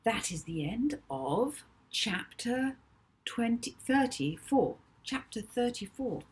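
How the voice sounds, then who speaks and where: A woman author reading her children's novel aloud with dramatic, deliberate emphasis. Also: fairly high pitch, very animated, darkish tone, breathy edge. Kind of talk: expressive children's audiobook narration.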